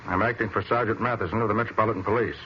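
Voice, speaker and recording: An actor playing a brisk, self-assured American private detective in an old-time radio drama. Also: male voice, on the low side, steady tone, sharp tone. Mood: brisk, businesslike. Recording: muffled, hissy vintage radio recording.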